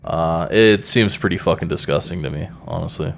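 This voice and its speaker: A man's voice: low voice, moderate pace, creaky, slight background noise. A man co-hosting a podcast in casual, rambling chat, full of fillers and casual swearing. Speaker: podcast co-host.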